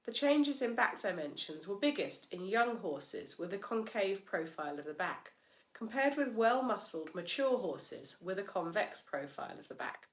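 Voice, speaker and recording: A woman narrates from a script in a measured, formal way. Her pitch sits in the middle range for a woman. The recording is echoey, with clear room sound around her voice. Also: big pitch swings. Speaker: host and narrator, physiotherapist. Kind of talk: scripted narration, read aloud.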